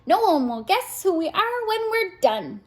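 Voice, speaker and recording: Woman playing an excitable little girl, high and swooping. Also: a little slow, full character voice. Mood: gleeful, excited. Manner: exclaiming, eager. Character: excitable, messy little girl who loves dressing up.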